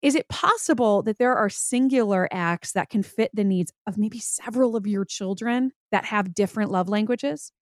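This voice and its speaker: A woman hosts a parenting podcast, asking her guest conversational follow-up questions in a thoughtful way. Her voice is mid-pitched for a woman. The recording is close and dry. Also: normal speed, hardly any pauses, dry recording. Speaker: podcast host. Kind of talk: interview questions.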